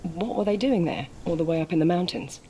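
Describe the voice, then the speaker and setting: An actress playing an inquisitive female journalist who asks measured, probing questions in a game cutscene. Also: lowish voice, warm tone, slight background noise, close to an everyday voice, rough recording. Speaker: interviewer. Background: music.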